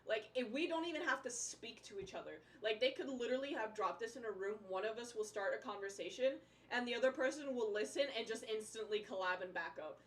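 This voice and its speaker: A woman's voice: on the high side, fast, hardly any pauses. A young woman in casual chat, telling stories in a slangy, sweary and emphatic way. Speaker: livestreamer. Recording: distant from mic, background noise.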